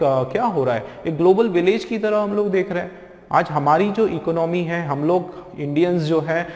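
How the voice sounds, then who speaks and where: A man's voice: sits high, darkish tone. A man with an Indian accent giving a spoken English lecture in Hindi mixed with English, asking the class rhetorical questions as he goes. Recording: echoey room with long reverb.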